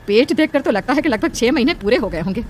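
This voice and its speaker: A woman voicing a worried, motherly middle-aged village aunt in a horror cartoon, speaking in an expressive, questioning way. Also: a little high, fast talker. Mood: caring. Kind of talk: dramatic Hindi cartoon story dialogue.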